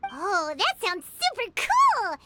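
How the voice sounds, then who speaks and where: A woman voicing a small, excitable, childlike fairy companion in a video game, with an exclamatory way of talking. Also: extremely high pitch, normal speed, loud music behind the voice. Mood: amazed. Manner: refers to self in third person, exclamatory. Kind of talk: video game character dialogue.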